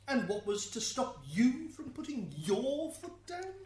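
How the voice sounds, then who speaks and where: A courteous, wry defence advocate in a radio play, acted by a woman. Her fairly high voice moves in animated swings. She can sound slightly flustered, and there is faint noise behind her. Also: unhurried. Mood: courteous, probing. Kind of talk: radio drama acting.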